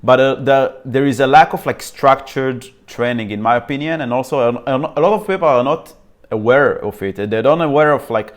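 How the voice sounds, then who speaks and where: Chatty male podcast host. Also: medium pitch. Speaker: interview host. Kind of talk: casual interview chat.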